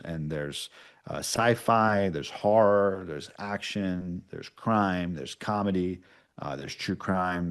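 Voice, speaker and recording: A man speaking as an interview guest, explaining his work in an informal, conversational way. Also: deep voice. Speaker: podcast producer, interview guest. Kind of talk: casual explanatory interview talk.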